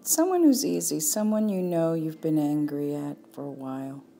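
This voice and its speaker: A woman leading a guided meditation as a teacher, speaking calmly and without hurry, leaving long pauses. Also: low-pitched, creaky, slight background noise. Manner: calm, unhurried, with long pauses.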